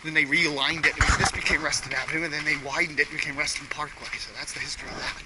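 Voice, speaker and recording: A rambling, chatty man narrating his hike, his voice a bit raspy from a recent cold. His voice sits a touch high for a man. Loud outdoor noise runs beneath him on a camera mic. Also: flat delivery, fast. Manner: chatty, rambling tour-guide asides. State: slightly hoarse from a cold. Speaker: hiking vlogger. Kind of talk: casual walking narration. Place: outdoors on a paved trail.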